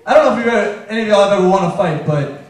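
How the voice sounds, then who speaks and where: A man's voice: sits high, racing. A young man from Afghanistan performing a stand-up comedy set, telling stories and acting out the people in them. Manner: deadpan storytelling, acting out characters in quotes. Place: comedy club stage. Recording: very echoey room, voice heard from a distance.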